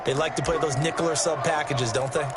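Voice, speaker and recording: A man's voice: on the high side, fairly even intonation, fast, over loud music, a loud crowd, close to an everyday voice. A man voicing a veteran color analyst with an American accent, explaining plays conversationally in a football video game. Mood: chatty, explanatory.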